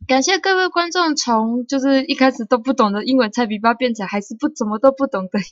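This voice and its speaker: A woman streamer from Taiwan, chatting playfully and halting when speaking English. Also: high-pitched, dull audio. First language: Mandarin. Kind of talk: live game stream chat.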